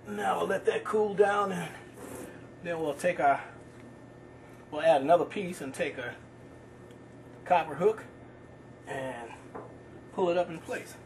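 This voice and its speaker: A man working as a plumber, explaining his work in a relaxed, practical way with frequent fillers while working in a basement. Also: fairly high pitch, unhurried, long pauses, a little hiss or hum. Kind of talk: instructional voice-over while working.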